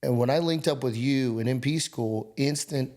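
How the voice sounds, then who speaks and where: A man in his forties as a podcast guest, talking in an upbeat, energetic, casual way. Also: mid-range voice, moderate pace. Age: 40s. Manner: upbeat, energetic, restarts and slang. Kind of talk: casual interview chat.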